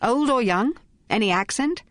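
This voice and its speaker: A woman's voice: a little high, lively intonation, moderate pace, no room sound, pitched above the performer's own voice, natural voice. A woman voicing a short-tempered male police detective inspector who barks scornful questions. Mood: sharp.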